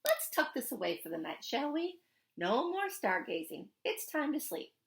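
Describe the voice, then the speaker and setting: A woman voicing a gentle, affectionate father in a storybook, tender and softly teasing, with an American accent. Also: sits high, sing-song, moderate pace, creaky, voice pushed up, heavily acted. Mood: mock stern. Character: gentle, affectionate, playful father of a young girl.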